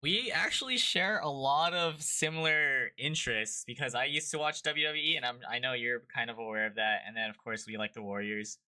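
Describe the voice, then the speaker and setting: Male host, sharp crisp tone, casual and inquisitive interviewer. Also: mid-range voice, a little fast, hardly any pauses. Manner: curious, asks follow-up questions. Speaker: podcast host.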